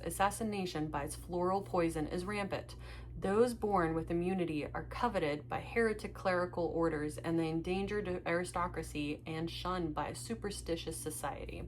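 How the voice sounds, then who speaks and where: A woman's voice: lowish voice, normal speed, a little hiss or hum, rough recording. A young woman, Russian her first language, chatting casually as she unboxes books and reads blurbs aloud at home, laughing at her own stumbles. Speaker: book unboxing vlogger.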